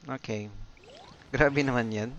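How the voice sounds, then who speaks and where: A man's voice: medium pitch, fairly even intonation, a little slow, muffled recording, crowd noise. A man with a Filipino accent giving casual live commentary as he streams a game. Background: video game music.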